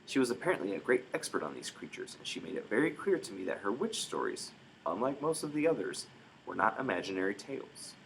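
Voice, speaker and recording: A man reading a story aloud in expressive narration, with dramatic emphasis. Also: on the low side, fast talker, creaky, a little hiss or hum, rough recording. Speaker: storybook reader.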